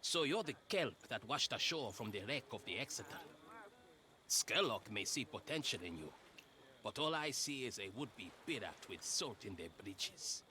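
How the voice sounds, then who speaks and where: An actor playing a gruff, scornful, boastful male pirate shipwright in a video game, with theatrical taunting delivery. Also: mid-range voice, very animated, normal speed, bright, forceful tone, a little hiss or hum.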